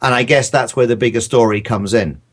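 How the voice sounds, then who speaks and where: A man speaks as a guest writer in a relaxed podcast discussion. His pitch sits in the middle, and he reasons aloud in a halting, thoughtful way, often rewording himself.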